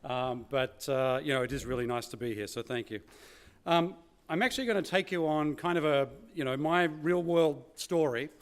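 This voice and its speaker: A man's voice: on the high side, normal speed, clear, resonant tone. Australian man giving an informal conference talk. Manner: conversational, often saying "you know", "kind of" and "right?". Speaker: keynote speaker.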